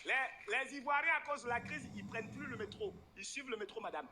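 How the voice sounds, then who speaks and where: Male stand-up comedian, very high voice, swooping delivery, teases the crowd, noisy live stage sound. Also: fast talker. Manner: animated, exclamatory, teasing the crowd. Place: stage in a venue with an audience.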